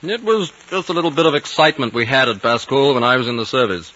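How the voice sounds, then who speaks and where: An actor playing a young English military pilot with breezy, slangy officer talk in a 1950s radio drama. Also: male voice, medium pitch, expressive, quick pace, bright, forceful tone, a little hiss or hum. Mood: breezy, animated. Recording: dull vintage radio recording.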